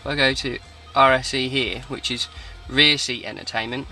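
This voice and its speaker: A man's voice: mid-range voice, fairly even intonation, moderate pace, bright, forceful tone, low-quality recording. Man demoing car gadgets, music behind. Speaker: car audio installer presenting a demo. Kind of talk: informal product walkthrough. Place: in and around a parked car.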